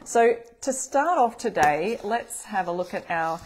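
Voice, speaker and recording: A middle-aged Australian woman hosting a live craft tutorial, chatting with viewers and greeting them by name from a table in a bright room. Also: mid-range voice, lively intonation, measured pace, low-quality recording. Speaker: craft demo host.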